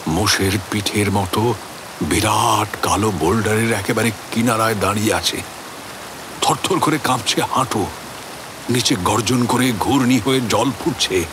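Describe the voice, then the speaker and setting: A man's voice: on the low side, background noise, close to an everyday voice. An actor playing an older man recounting an eerie experience in a theatrical, reflective audio drama monologue. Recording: music behind the voice.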